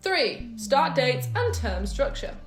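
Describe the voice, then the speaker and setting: A woman's voice: high-pitched, sing-song, slow, reverberant, loud music behind the voice. A woman co-hosting a casual scripted chat, upbeat and laughing easily as she counts off points. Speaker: co-host.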